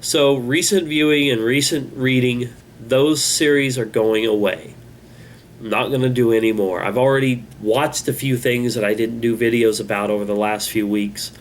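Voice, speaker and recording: A man hosting his own channel, talking in a halting, rambling, self-critical way, with a congested voice. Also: mid-range voice, steady tone, a little hiss or hum. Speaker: channel host. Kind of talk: casual vlog monologue.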